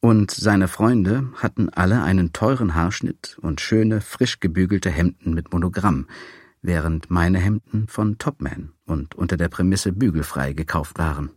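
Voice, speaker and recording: A man's voice: low-pitched, unhurried, a little mellow, husky, no echo. A man reading a first-person memoir as an audiobook, with dry, wry storytelling. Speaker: audiobook narrator.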